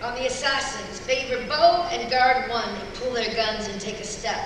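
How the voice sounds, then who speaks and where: A woman's voice: on the high side, moderate pace, natural voice. A woman voice actor reading a script aloud at a live table read, recorded from a distance in an echoey hall. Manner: playful, giggly between lines. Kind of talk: live script reading of stage directions and lines. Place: large convention room with live audience. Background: room ambience noise.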